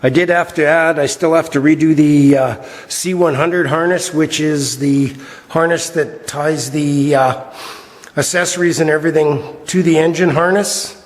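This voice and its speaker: Slightly hoarse guy rambling with lots of uhs, kinda high voice, long echo in a big garage. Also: a little slow. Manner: relaxed, rambling, many "uh" fillers. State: slightly hoarse, clearing his throat. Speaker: garage builder showing his project. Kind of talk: casual workshop vlog. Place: large shop garage, indoors.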